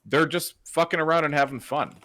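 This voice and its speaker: A man's voice: on the high side, fairly quick, bright, forceful tone, no room sound. A man chatting casually as a podcast guest, laid-back, arguing through examples and swearing.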